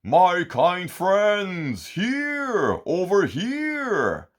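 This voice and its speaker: A man's voice: lowish voice, sing-song, slow pace, a bit bright, heavily acted. A man voicing a proud, kind male sea giant in a storybook reading, with a raised, carrying voice. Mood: calling out.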